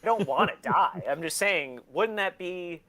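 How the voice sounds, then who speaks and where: A man's voice: sits high, animated, moderate pace, pushed and bright. A man being interviewed, telling stories in casual chat with blunt, sweary talk and an easy laugh. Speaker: interview guest, collector and documentary maker.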